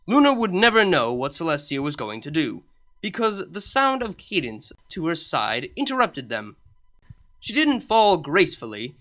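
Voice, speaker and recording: A woman's voice: sits low, sing-song, moderate pace, a bit bright. A woman reading comedy fanfiction aloud as a narrator. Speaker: fanfiction reader. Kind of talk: storytelling narration.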